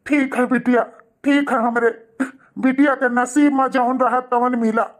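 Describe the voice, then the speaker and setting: A man's voice: very high voice, flat delivery, unhurried. A man voicing a humble, sentimental older father in a cartoon melodrama, resigned and sorrowful, with an Awadhi accent. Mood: wounded, resigned. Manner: stammering when shaken, sentimental. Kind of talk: cartoon family melodrama acting.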